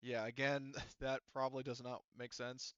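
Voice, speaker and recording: A man acting as game master in an online roleplaying session, thinking aloud and haltingly working through rules and dice. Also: medium pitch, flat delivery, fairly bright tone, clean tone, dry recording, lo-fi sound.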